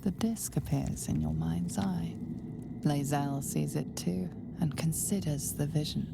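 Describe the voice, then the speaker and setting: A woman performing as the storyteller of a fantasy video game, narrating in a measured, knowing manner. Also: deep voice, vocal fry, heavy background noise, heavily acted. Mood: grave, knowing. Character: grave female storyteller narrating the player's inner thoughts. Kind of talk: scripted second-person game narration.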